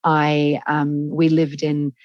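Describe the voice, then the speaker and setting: A woman from France with a fairly low voice answers interview questions, thinking aloud with frequent ums and false starts. The sound is muffled and dry, like a remote video call. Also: monotone, takes it slowly, dry recording. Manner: hesitant, many ums and restarts. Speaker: guest, company chief executive.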